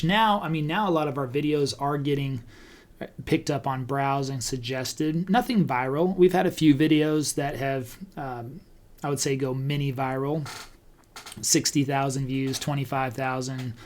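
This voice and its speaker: A man talking casually as a podcast host, conversational and thinking out loud as he goes. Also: medium pitch. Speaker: podcast host and real estate agent. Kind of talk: casual talk about online video marketing.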